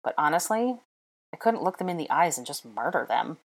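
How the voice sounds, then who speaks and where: A woman's voice: low-pitched, very animated, brisk, exaggerated voice. A woman voicing a scornful, irritable teenage boy in an audiobook story, curt and scoffing. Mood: hard, reluctant. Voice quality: rough.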